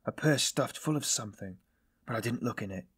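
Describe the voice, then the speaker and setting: Guy doing a weary tortured man confessing, raspy voice, bitter and halting, audiobook style. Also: mid-range voice, a bit bright. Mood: musing, subdued. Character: tormented, weary, self-loathing man confessing. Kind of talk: dramatised audiobook dialogue.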